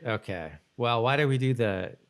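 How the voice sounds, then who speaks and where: A man's voice: mid-range voice, lively intonation, normal speed, clean tone. A man co-hosting a podcast, chatting informally about TV episodes in a rambling, slangy way. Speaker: podcast co-host. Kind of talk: casual TV episode recap chat.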